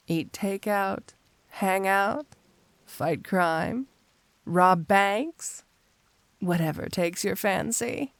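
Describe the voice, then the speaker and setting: A woman playing a flirty, seductive cat-burglar supervillain in an intimate ASMR roleplay, coaxing and teasing in an unhurried way. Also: fairly low pitch, dry recording.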